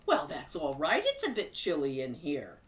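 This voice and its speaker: A woman reading a storybook aloud, voicing a kindly, teasing old grandmother in a high, sing-song voice. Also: huge pitch swings, normal speed, distant mic. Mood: fond.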